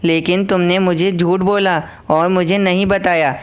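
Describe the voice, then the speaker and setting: A woman's voice: lowish voice, fairly even intonation, unhurried, clear, resonant tone, natural voice. A woman voicing a stern, protective mother crow in a children's cartoon, speaking in a firm, accusing way. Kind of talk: children's cartoon voice acting in Hindi.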